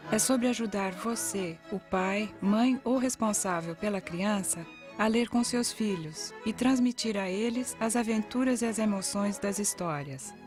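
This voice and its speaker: A woman's voice: mid-range voice, measured pace, hardly any pauses, over music. A woman with a Brazilian accent reading a scripted narration for an educational video.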